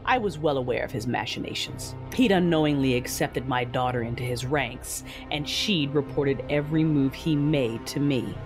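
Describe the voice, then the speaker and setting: A woman playing a vengeful, grieving Sith ruler, narrating an audio drama in the first person in a measured, solemn, menacing way. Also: a bass voice. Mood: cold, bitter. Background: music.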